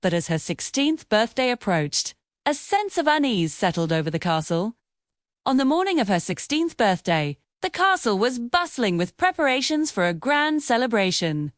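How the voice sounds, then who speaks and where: A woman tells a children's fairy tale from a script. Her voice sits fairly high and swings widely in intonation. The sound is close and dry. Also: dry recording. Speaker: storyteller.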